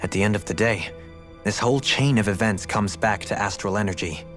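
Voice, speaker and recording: Man as low, eloquent, refined game nobleman. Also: a bit bright, faint music behind, lightly acted. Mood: thoughtful. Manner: measured, eloquent, formal. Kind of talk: video game cutscene acting.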